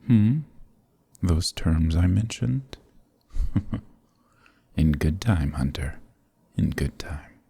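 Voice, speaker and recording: Casting: dominant vampire lord for ASMR drama; dark, husky, gravelly whisper-edged voice, silky menace, close and dry. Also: male voice, deep voice, animated, no echo, full character voice. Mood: courtly teasing. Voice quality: gravelly, breathy, often dropping to a whisper. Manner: courtly, silky, teasing, menacing, drawn-out phrasing. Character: possessive, sadistic, suavely dominant male vampire lord. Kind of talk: ASMR roleplay, intimate scripted monologue.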